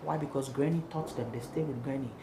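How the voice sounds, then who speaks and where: A man's voice: medium pitch, quick pace. Young Ghanaian man in an echoey room. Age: young adult. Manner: animated, repetitive, emphatic. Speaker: singer and guest. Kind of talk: interview conversation. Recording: echoey room with noticeable background noise.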